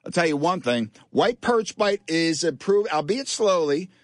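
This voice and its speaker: A man with a somewhat high, nasal voice, hosting a fishing show on talk radio. He talks in an excitable, rambling way. The studio sound is dry and close. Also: a little slow, no echo.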